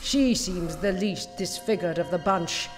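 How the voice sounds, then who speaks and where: A woman's voice: lowish voice, measured pace, lightly acted. A woman playing a haughty, short-tempered villain scientist in an audio drama, theatrical and scornful. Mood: cold, scheming. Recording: long hall reverb, music underneath.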